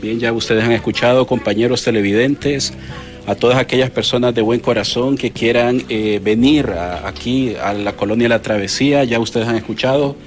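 A middle-aged man with a Honduran accent giving a halting, improvised live news report on location into a handheld microphone. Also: medium pitch, faint music behind. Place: on location at a house.

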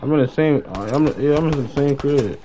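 A young American man chats casually while streaming a game. His voice sits at an ordinary middle pitch, and he talks in heavy slang, often repeating short phrases. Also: moderate pace, mellow, a little hiss or hum, low-quality recording. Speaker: live streamer playing a role-play game. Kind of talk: casual gaming chat.

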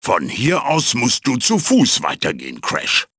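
A man playing a wise, fatherly magical wooden mask in a video game, with a theatrical, instructive manner, dry humor and a gravelly, raspy voice. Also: medium pitch, wildly swooping pitch, unhurried, sharp tone, no echo, full character voice. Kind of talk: video game character voice acting, tutorial guidance.

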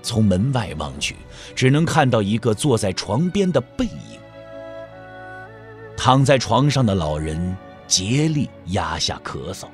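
Animated male narrator over music. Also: on the low side, a little slow. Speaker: audio drama narrator. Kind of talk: scripted literary narration of a martial-arts novel.

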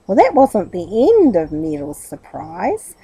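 Husky middle-aged woman reading a children's story. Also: medium pitch, wildly swooping pitch, slow pace, mellow, rough recording. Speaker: storybook reader. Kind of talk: children's story read aloud, expressive narration.

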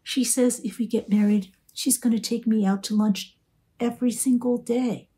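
Woman reading an anxious young woman; timid, plaintive, slightly raised pitch. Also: fairly even intonation, normal speed, warm tone, close to an everyday voice. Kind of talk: audiobook character voice.

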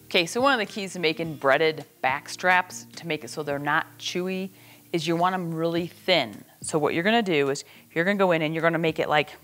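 A middle-aged woman with a fairly low voice explains cooking steps in a casual, instructional style. She is folksy and plainspoken, like a home cook talking in her own kitchen. Faint music plays in the background. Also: brisk, fairly bright tone. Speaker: cooking host.

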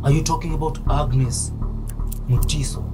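A man with a Kenyan accent acting as a stern, determined police detective in a film, speaking deliberately with pauses. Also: on the high side, fairly even intonation, lightly acted. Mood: controlled, pressing. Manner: deliberate, measured, pausing. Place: small dim interrogation room. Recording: dramatic music loud behind.

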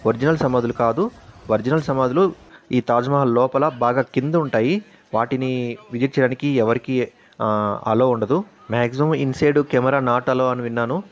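A man's voice: medium pitch, dull audio. A young man with a Telugu accent narrating a travel vlog in an informative way, stopping often on "aa" fillers and mixing in English words. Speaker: travel vlogger.

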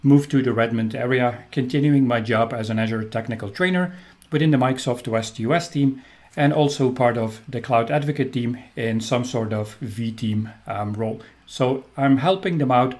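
A man's voice: mid-range voice, moderate pace, a little mellow. A middle-aged man from Belgium with a Belgian accent, giving an enthusiastic recorded technical presentation as a trainer, with frequent ums. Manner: upbeat, enthusiastic, with frequent um fillers.

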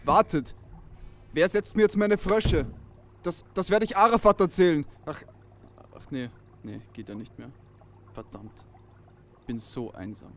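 A man's voice: fairly high pitch, animated, a little slow, long pauses, slight background noise. A man playing a hapless, lonely pet shop owner in an audio drama. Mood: upset.

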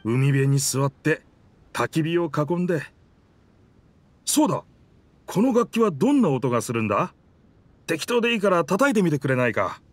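Highly expressive wistful boat spirit. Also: male voice, normal speed, long pauses, no echo. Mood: hearty, curious. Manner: rough sailor phrasing, expressive, sighing. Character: stranded talking old boat, hearty, wistful male sailor spirit. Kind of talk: Japanese anime game acting.

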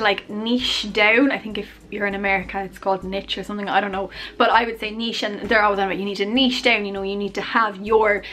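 A woman in her twenties rambling casually to camera in her bedroom, chatty and self-deprecating, her voice a little hoarse. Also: medium pitch, fairly bright tone. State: losing her voice, coughing. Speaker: YouTuber. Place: bedroom, indoors. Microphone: camera on tripod at arm's length.

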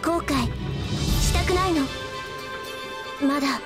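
A woman voicing an earnest, brave and gentle young girl warrior in a fighting game. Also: sits high, slow pace. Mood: resolute. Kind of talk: Japanese fighting game voice acting. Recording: game audio under loud music. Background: loud game music.

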